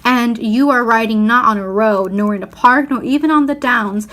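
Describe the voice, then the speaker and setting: A woman narrates a children's storybook in a fairly high voice. She reads expressively, shaping each phrase with deliberate care. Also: fairly bright tone. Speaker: storyteller reading aloud.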